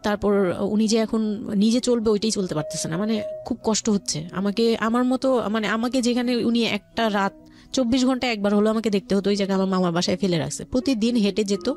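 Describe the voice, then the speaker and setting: A woman's voice: medium pitch, fairly quick, darkish tone, soft background music. A woman with a Bangladeshi accent telling her personal story conversationally as a guest, often voicing what relatives said. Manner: quotes relatives' words as she narrates.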